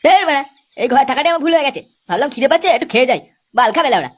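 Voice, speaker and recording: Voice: high voice, expressive, very fast. A performer voicing a grumbling, foul-mouthed village fellow in a comic cartoon, colloquial. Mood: sulky. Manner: complaining, colloquial.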